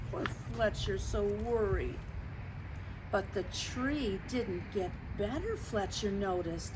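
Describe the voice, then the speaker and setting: A woman reads a children's picture book at an unhurried, slow pace. She is expressive and explains things directly to young listeners. The recording has clear background noise. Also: on the high side. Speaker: storytime reader. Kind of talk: children's picture-book read-aloud with asides.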